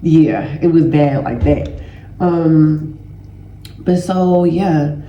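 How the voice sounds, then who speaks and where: Stuffy-voiced woman with a gravelly edge. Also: low voice, very slow, warm tone, muffled recording. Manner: conversational, loose, addresses listeners as y'all. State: stuffy nose and sore throat. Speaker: healing educator and host. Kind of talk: casual educational talk to viewers. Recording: roomy echo.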